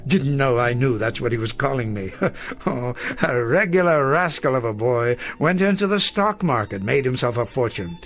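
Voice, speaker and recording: An actor playing an elderly, genial, old-fashioned professor in a radio drama, speaking in a wordy, courtly, hesitant way. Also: male voice, medium pitch, animated, normal speed, a bit bright. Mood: proud, nostalgic. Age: older. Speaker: veteran teacher.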